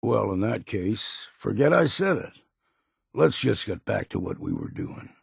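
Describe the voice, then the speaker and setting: A gruff older island hunter for a video game. The voice is heavily gravelled and husky, swinging freely in pitch, with wary, stubborn pride and a folksy rustic turn of phrase. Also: male voice, huge pitch swings, a little slow, no room sound, studio quality, exaggerated voice. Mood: stubborn, indignant. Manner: folksy rustic speech with dropped grammar and ain't. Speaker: game character voice actor. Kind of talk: video game dialogue acting.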